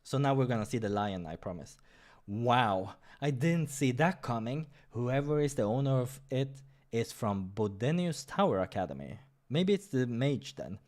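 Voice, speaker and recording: A man's voice: mid-range voice, expressive, moderate pace, a little mellow. A man hosting a game playthrough, reading visual novel narration and breaking off for casual asides.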